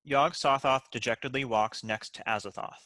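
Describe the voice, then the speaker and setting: A man reads screenplay stage directions in a crisp narrator role. His voice is mid-pitched and very bright, recorded dry and close. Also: moderate pace, no echo. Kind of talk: scripted narration.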